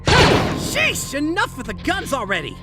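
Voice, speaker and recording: Man acting very high, exasperated young hero. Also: wildly swooping pitch, a little slow, loud music behind the voice, heavily acted. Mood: scolding, wry. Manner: wry, exclaiming. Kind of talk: comic-book audio drama acting.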